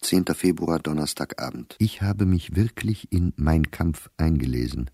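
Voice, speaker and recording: A man's voice: rumbling low, lively intonation, moderate pace, creaky, mellow, slightly rough, no room sound, studio quality, full character voice. An actor playing a weary, persecuted middle-aged diarist in a radio play, reading his entries in a terse, restrained, bitter way. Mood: weary, sober. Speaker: diary reader in a radio play. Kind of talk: sober literary diary reading.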